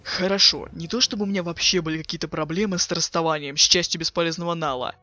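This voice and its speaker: Man as a sharp-voiced, sarcastic cool teenage boy. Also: a little high, steady tone, quick pace, no room sound, soft background music. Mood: offhand. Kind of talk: fan dub of a webcomic chat.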